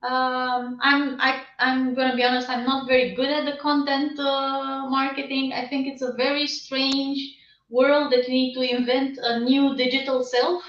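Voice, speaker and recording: Female guest, Romanian-born, candid, a bit high-pitched, heard from far off on a call. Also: flat delivery, unhurried, a bit bright. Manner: laughs often, candid and self-deprecating. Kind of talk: casual interview answers. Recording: distant, roomy, dull video call audio.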